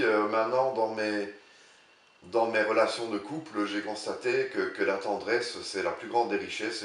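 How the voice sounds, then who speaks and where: A man's voice: lowish voice, far from the mic. Older man, author, hesitant repeated words, roomy echo, slightly off-mic. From Belgium. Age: 60s. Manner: halting, repeats words while searching for them. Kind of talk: interview answers. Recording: roomy sound, voice a bit off-mic.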